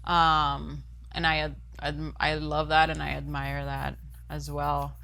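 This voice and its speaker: Woman from puerto rico, slow easy talker, bright voice, teasing. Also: low-pitched, level tone, vocal fry. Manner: easygoing, teasing. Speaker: guest. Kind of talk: casual chat.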